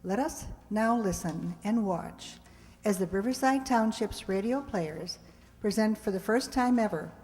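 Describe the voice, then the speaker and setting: A woman's voice: mid-range voice, a little slow, darkish tone. A woman host chattily introducing a live radio play, speaking directly to an audience. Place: live stage in a hall with audience. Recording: reverberant hall.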